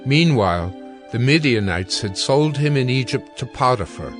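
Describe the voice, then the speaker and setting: A man's voice: medium pitch, lively intonation. Solemn male Bible narrator over music. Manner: measured, solemn storytelling. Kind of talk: scripted dramatic Bible narration.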